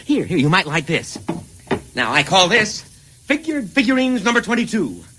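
An actor playing an eccentric, boastful struggling painter with a breezy, chatty manner, in old-time radio comedy style. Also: male voice, high-pitched, big pitch swings, moderate pace, bright, forceful tone, breathy edge, exaggerated voice. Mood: proud, boastful. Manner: breezy, chatty, proud of his own work. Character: eccentric struggling painter, boastful, oblivious, sly, eager to show off.

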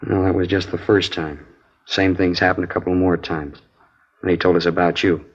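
A man's voice: low voice, level tone, normal speed, breathy edge. An actor playing a plain-spoken, confiding male nurse informant in a 1950s radio drama. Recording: dull old radio recording.

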